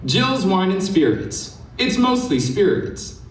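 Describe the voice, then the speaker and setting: A man's voice: high-pitched, very animated, takes it slowly. A man working as a show announcer, reading a mock sponsor ad in an announcer's delivery at a live venue. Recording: echoey distant venue sound, faint noise.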